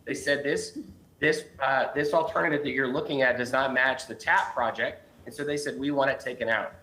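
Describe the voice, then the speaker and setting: A man's voice: mid-range voice, fairly even intonation, moderate pace, sharp tone, rough recording. Man arguing in a council meeting, voice going. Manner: earnest, argumentative, frequent restarts. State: losing his voice. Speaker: city council member.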